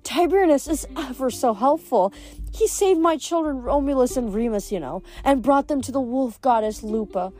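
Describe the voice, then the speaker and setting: Casting: a woman as a breezy, youthful immortal goddess for a storybook, very high voice, fawning and giggly with grand endearments. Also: expressive, normal speed, a little mellow. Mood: gushing, sweet. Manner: gushing, giggly, theatrical endearments. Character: sweet, breezy, fawning ancient goddess, youthful pretty lady.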